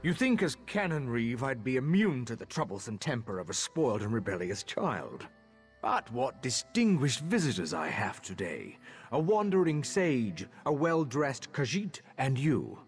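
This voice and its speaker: A man's voice: medium pitch, sing-song, normal speed, soft background music, close to an everyday voice. An actor playing a stern, haughty male elf magistrate in a video game, formal and disdainful. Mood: scolding. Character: stern, haughty elven magistrate, father of a rebel. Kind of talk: video game character dialogue.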